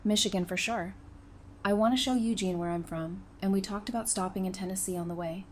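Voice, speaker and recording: A woman's voice: medium pitch, fairly even intonation, fairly quick, a little hiss or hum, lightly acted. A woman playing a practical, easygoing survivor in an audio drama, speaking in a matter-of-fact, conversational way. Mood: explaining.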